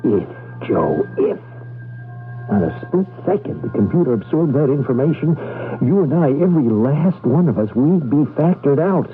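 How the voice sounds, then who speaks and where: Animated, wary conspirator, tinny radio. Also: male voice, fairly high pitch. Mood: grave warning. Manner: emphatic, warning, with dramatic pauses. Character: cautious, insistent male underground conspirator, wary and patronizing. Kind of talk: 1980s radio drama acting. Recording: band-limited old radio broadcast, music underneath.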